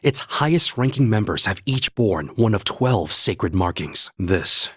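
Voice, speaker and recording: A man playing an earnest young holy knight in a video game, with a husky voice and a measured, formal, noble delivery. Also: on the low side, expressive, fairly bright tone, dry recording, clean studio sound, heavily acted. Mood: solemn, measured. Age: young adult.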